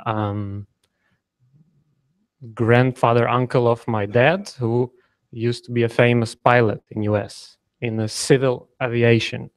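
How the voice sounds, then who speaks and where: A man's voice: medium pitch, no echo. Hesitant young man telling a family story. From Ukraine. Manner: halting, many fillers and restarts. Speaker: workshop participant.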